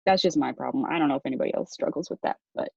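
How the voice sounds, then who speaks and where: A woman's voice: low voice, very fast, gravelly, darkish tone, slightly rough, no echo. A woman, a student, talking hesitantly on a video call and filling her talk with "like". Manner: hesitant, many likes. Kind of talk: casual discussion. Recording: dull lo-fi sound.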